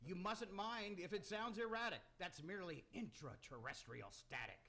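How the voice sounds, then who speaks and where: A man's voice: sits high, expressive, moderate pace, pushed and bright. A man hosting and narrating a live radio theater broadcast from a studio room. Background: background noise.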